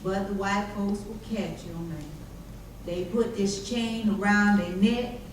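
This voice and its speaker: A woman's voice: medium pitch, steady tone, natural voice. A woman playing an earnest formerly enslaved person recalling plantation life in folksy dialect, as a readers theater monologue. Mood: somber. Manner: folksy dialect, measured storytelling. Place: church hall. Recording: distant mic, very echoey hall, faint noise.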